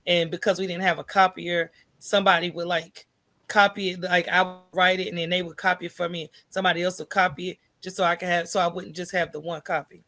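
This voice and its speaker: A woman's voice: lowish voice, little pitch movement, quick pace, fairly bright tone. An American woman telling her own story as an interview guest in an informal, candid way, often checking in with "right?". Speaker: podcast guest, entrepreneur. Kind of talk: conversational personal storytelling in an interview.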